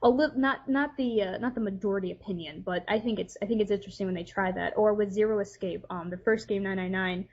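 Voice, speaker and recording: A woman's voice: mid-range voice, fast talker, hardly any pauses, darkish tone, a little hiss or hum. A woman guest on a podcast giving opinions casually, in a hesitant, thoughtful way, on lo-fi audio.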